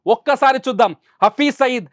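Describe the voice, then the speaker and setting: A man's voice: very high-pitched, brisk, no echo, studio quality. A man presenting news commentary emphatically, repeating phrases for rhetorical weight.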